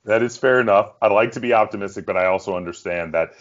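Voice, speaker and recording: Low-voiced male interview host, slightly muffled. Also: fast talker, slight background noise. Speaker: host of a gaming interview show. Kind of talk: conversational interview hosting.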